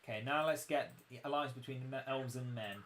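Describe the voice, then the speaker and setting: A young man commentating casually on his own game livestream, his voice croaky, chatty and self-mocking as he explains the mechanics. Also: medium pitch, fairly quick, a bit bright, a little hiss or hum. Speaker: game streamer.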